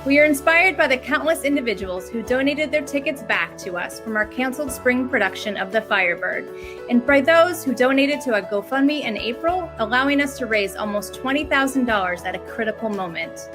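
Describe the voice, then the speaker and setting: A woman speaks in a fairly high voice, reading a prepared address as an organization's chief executive. Music is clearly audible behind her. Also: expressive, a little fast. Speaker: ballet school president and CEO. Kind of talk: scripted address to viewers. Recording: prominent music under the voice.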